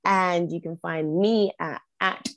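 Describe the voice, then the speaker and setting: A woman's voice: fairly low pitch, fairly even intonation, slow, no room sound, highs cut off. A young woman, a podcast guest whose first language is not English, speaking earnestly and repeating phrases for emphasis. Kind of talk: reflective podcast conversation.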